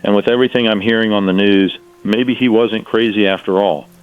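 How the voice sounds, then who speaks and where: Man acting a tinny, low-voiced radio operator. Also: steady tone, fairly quick, clear, resonant tone. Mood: wary, uneasy. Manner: terse, wary, short phrases. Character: uneasy male radio operator reporting to unseen listeners. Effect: radio filter. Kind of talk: audio drama radio transmission. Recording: tinny, narrow-band radio transmission.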